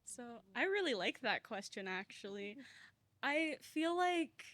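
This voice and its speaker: A woman's voice: high-pitched, big pitch swings, measured pace, a bit bright. A young woman on a student panel, talking reflectively and casually with a lot of filler words. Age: young adult. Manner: reflective, uses many "like" fillers. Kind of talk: panel discussion.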